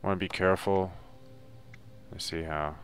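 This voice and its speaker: A man's voice: low voice, steady tone, normal speed, a bit bright. A man giving a casual, unscripted video game walkthrough in a loose, hesitant, laid-back way. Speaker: video game guide narrator.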